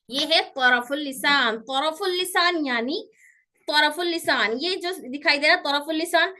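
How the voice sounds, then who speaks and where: A woman's voice: high voice, pushed and bright. A woman teaching a pronunciation class online, patiently repeating each term and checking that her students follow.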